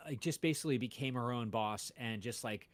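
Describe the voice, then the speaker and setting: A man's voice: medium pitch, brisk, dry recording. A man, a podcast host, chatting casually about music with many fillers and restarts.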